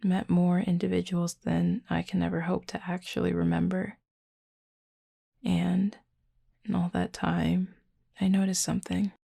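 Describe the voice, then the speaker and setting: A woman voicing a flirty, caring female werewolf in an intimate audio roleplay, in a hushed, unhurried, teasing but gentle murmur. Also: on the low side, flat delivery, no room sound, clean studio sound, exaggerated voice. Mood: reassuring, teasing. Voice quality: breathy, husky, fry-laden murmur.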